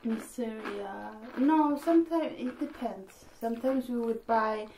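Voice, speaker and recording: A woman's voice: a little high, unhurried, darkish tone, a little hiss or hum, poor audio. A woman in her forties chatting casually with her daughter, making short blunt remarks. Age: 40s. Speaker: host's mother. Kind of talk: casual chat.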